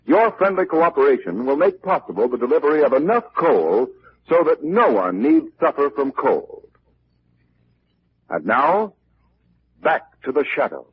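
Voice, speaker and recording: A man's voice: a little high, sing-song, a little slow. A man reading a scripted announcement in the manner of a 1940s radio announcer. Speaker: radio announcer reading a public service message. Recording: muffled, noisy old radio recording.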